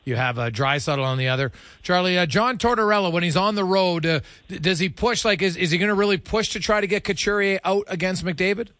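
A man's voice: high voice, fairly quick, a bit bright, no echo, clean studio sound. A man hosting a sports radio show, asking questions in a casual, conversational interview style.

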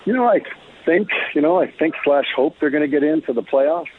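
Husky middle-aged Canadian man, muffled line. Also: fairly high pitch, fairly quick. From Alberta, Canada. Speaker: former pro hockey player, interview guest. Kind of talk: casual reminiscing interview. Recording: dull phone-like lo-fi sound.

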